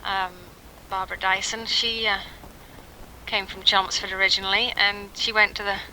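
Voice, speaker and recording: A woman's voice: mid-range voice, little pitch movement, pushed and bright, slight background noise, rough recording. A woman in her thirties chatting casually and hesitantly, as in a spoken letter to family, on a 1960s home tape. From England. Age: 30s. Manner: informal, hesitant, pausing often with ums. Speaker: mother recording an audio letter to family. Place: at home, in a quiet house.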